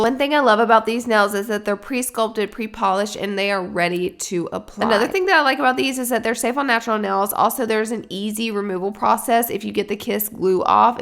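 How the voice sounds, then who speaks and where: A woman vlogger with a stuffy, slightly raspy voice, chatting upbeat and straight to her viewers as she walks through a tutorial. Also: mid-range voice, a bit bright. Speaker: beauty vlogger. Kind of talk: sponsored tutorial vlog.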